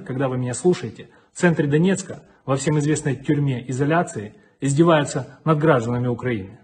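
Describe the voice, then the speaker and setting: A man's voice: medium pitch, husky. A middle-aged man, a city mayor, giving a stern and defiant video address from an indoor office with flags behind him, close to a webcam. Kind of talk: video address to enemy soldiers.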